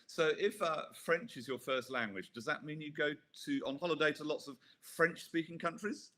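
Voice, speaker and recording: A man's voice: a little high, sharp tone. A man hosting a podcast, talking with a guest over a video call in a chatty, upbeat way. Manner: upbeat and chatty, quick to laugh. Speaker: podcast host. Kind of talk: casual interview.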